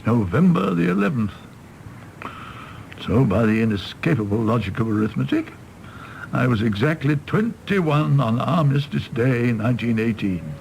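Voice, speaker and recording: A man acting a tipsy, bitter, apologetic middle-aged British doctor in a radio drama, rambling and halting in a gravelly voice. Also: medium pitch, sing-song, a little mellow, slight background noise, rough recording. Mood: wistful. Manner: rambling, halting, swinging from ranting to meek.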